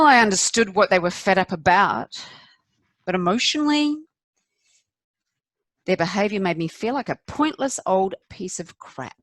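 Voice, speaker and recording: A woman performing a confessional stage monologue as a candid, rueful middle-aged wife, wry and self-deprecating, with deliberate emphatic pauses. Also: mid-range voice, fairly bright tone, no echo, natural voice.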